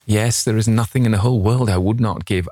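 A man's voice: deep voice, fairly even intonation, moderate pace, darkish tone, husky, no echo, clean studio sound. A man voicing a vain, petulant young man in an impassioned, dramatic reading of a novel. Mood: desperate pleading. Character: petulant, anguished young man, vain about his beauty.

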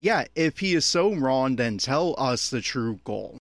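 A man's voice: medium pitch, animated, unhurried, dry recording, studio quality. Man, mid-range voice, opinionated, exasperated, unscripted episode commentary, very little room sound. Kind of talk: unscripted running audio commentary.

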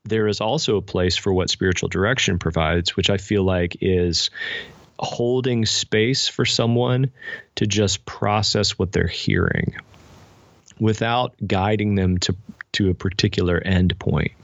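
An American man speaking as an interview guest, explaining things in a thoughtful, measured, conversational way. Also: low voice, fairly bright tone, no echo, lo-fi sound. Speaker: podcast guest, spiritual director and pastor.

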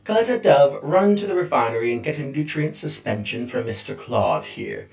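A man's voice: mid-range voice, huge pitch swings, normal speed, vocal fry, a little mellow, roomy sound, soft background music, voice pushed up, full character voice. A man voicing a female robot caretaker drone who speaks stiffly and formally. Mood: prim.

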